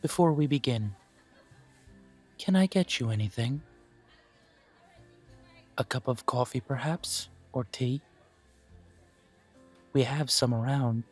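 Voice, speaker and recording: A man voicing a courteous elven coffee shop owner who speaks in a polite, formal, gently self-deprecating way, with soft music playing behind him. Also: mid-range voice, takes it slowly, long pauses. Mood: gracious. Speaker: shop owner interviewing a job applicant. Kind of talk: scripted one-sided roleplay audio.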